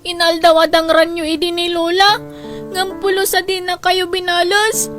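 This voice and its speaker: A woman's voice: extremely high pitch, level tone, moderate pace, fairly bright tone, faint music behind. A woman playing a caring, protective young granddaughter in a radio drama, earnest and pleading. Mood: earnest reproach. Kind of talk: Ilocano radio drama acting.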